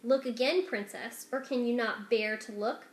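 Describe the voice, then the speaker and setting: A woman's voice: on the high side, steady tone, lightly acted. Woman voicing a menacing, falsely polite villain rat, echoey. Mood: sinister politeness. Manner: commanding, formal, condescending, deliberate. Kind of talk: dramatic children's storybook reading. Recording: echoey room.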